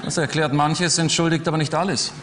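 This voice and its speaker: A man's voice: fairly high pitch, steady tone, a little fast, background noise, lightly acted. A man playing a smug, evasive official. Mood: smug, lecturing. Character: evasive pompous male official, middle-aged. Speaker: cabaret performer in a TV sketch. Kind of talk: stage cabaret sketch.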